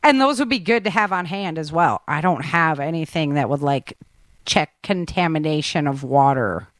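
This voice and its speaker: A woman's voice: low-pitched, lively intonation, moderate pace, no room sound. Casual woman podcast host, close dry sound. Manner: informal, slangy, interjecting.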